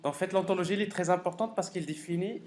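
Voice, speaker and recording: A man giving a live technical software lecture as a professor, halting and repeating words as he thinks aloud. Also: high voice. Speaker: university professor teaching a class. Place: classroom during a live course session. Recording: clearly noisy classroom recording.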